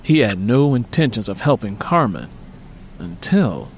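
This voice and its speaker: A man's voice: mid-range voice, big pitch swings, measured pace, slight background noise. A man reading scripted material as the host and narrator of a story podcast. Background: music.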